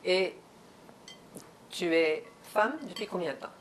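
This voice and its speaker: A woman host speaks at a very slow, deliberate pace in a slightly low voice, often pausing to search for the right word. She sits at a dinner table in a home. Faint clinking of cutlery sits behind her. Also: noisy background. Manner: slow and measured, searching for words. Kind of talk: hosted interview.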